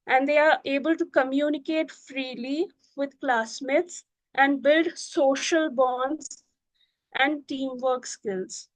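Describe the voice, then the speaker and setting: Woman teacher, native Urdu speaker, high voice, dry close sound, gentle and unhurried, many fillers. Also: dry recording, poor audio. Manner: gentle, unhurried, frequent "you know" and "uh". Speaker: Montessori teacher. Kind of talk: reflective talk to camera.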